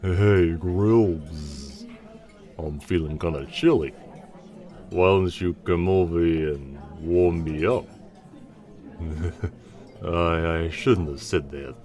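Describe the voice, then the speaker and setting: A goofy skeleton joker, acted by a man for a cartoon comic dub. The voice is low and unhurried, sliding around teasingly, with music behind it. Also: a little mellow. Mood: flirty, sheepish. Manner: drawling, jokey, teasing.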